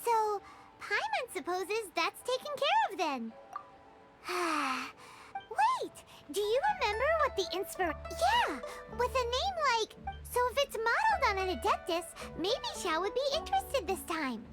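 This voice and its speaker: A woman voicing a tiny, bossy, excitable fairy companion girl in a video game, with a squeaky childlike voice. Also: unhurried, heavily acted. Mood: pondering. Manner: speaks of herself in third person, exclamatory. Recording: music behind the voice. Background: game music.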